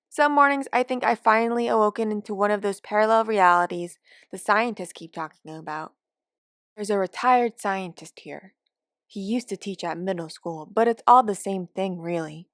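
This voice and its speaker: Here is a woman performing a forgetful elderly woman in a care home, telling her own short story. She grumbles and rambles with wry indignation. Her pitch is middling for a woman, and the sound is dry, with no room to it. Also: a bit bright, no room sound, close to an everyday voice. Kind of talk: first-person dramatic monologue of short fiction.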